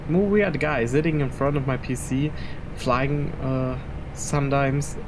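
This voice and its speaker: A young man whose first language is German rambles through casual gameplay commentary in English, chuckling often between thoughts. His voice sits at an ordinary middle pitch. A steady background hum of air conditioning runs under him in his room at home. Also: unhurried. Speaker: flight simulator streamer.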